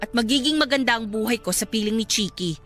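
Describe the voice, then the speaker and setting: A woman's voice: fairly high pitch, quick pace, fairly bright tone, close to an everyday voice. A woman playing a smug, cold-hearted young wife and scornful rival in a Filipino radio drama, dismissive and taunting, with a Filipino accent. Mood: scornful, irritated. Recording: dramatic music bed behind the voice.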